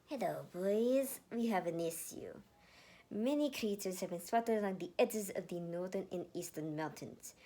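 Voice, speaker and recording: A teenage girl warrior commander, voiced by a woman reading a story, gives orders briskly. She sounds authoritative with a mischievous edge. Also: medium pitch, lively intonation, warm tone. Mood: commanding. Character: mischievous, commanding sixteen-year-old female warrior commander.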